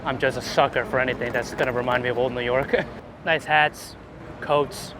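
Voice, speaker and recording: A man's voice: mid-range voice, fairly even intonation, normal speed. A man being interviewed as a guest, chatting casually and informally on a busy city street. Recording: noisy outdoor city street with traffic.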